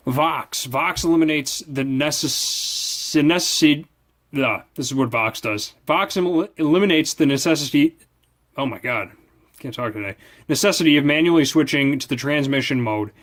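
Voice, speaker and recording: A man's voice: on the high side, normal speed, fairly bright tone. A man reviewing a product, reading its manual aloud with casual asides, often stumbling over and repeating words.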